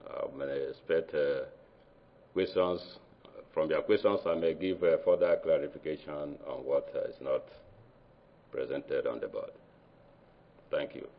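A man from Nigeria with a Nigerian accent, giving an academic research presentation in a hesitant way, with many fillers and halting phrases. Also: a bass voice, fairly even intonation, a little hiss or hum. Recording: dull lo-fi recording.

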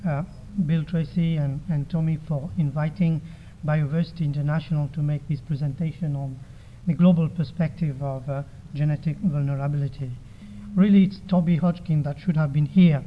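A soft-spoken man with a slightly raised pitch delivers a formal conference talk. He speaks in a measured way, often pausing with hesitant fillers. The sound is dull and lo-fi. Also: a little hiss or hum. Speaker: conference presenter. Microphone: microphone. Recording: dull lo-fi recording.

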